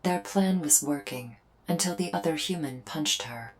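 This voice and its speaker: A woman's voice: low voice, normal speed, natural voice. A woman playing a solemn alien invader soldier in a roleplay audio drama, speaking in a stilted, formal, earnest way. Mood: explaining, solemn. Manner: stilted, formal, literal speech. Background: background music.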